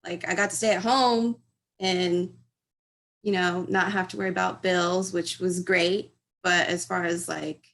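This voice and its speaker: Young woman panelist, lowish voice w lots of fry, talking about her own experience. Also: fairly bright tone. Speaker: panelist, university graduate. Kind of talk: personal panel discussion.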